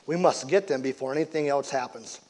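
A man voicing a soft-spoken farmer father who answers calmly and apologetically. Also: medium pitch, fairly quick, lightly acted. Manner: quiet, apologetic, calm.

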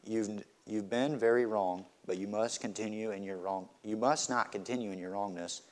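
Guy reading as a firm gentle nanny, lowish voice, earnest, kinda stumbles sometimes. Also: fairly even intonation, moderate pace, lightly acted. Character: firm but gentle older female nanny.

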